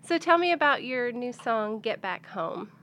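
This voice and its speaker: Higher-pitched woman interviewer. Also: big pitch swings, unhurried, a bit bright, a little hiss or hum. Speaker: interviewer, music editor. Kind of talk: casual on-camera interview.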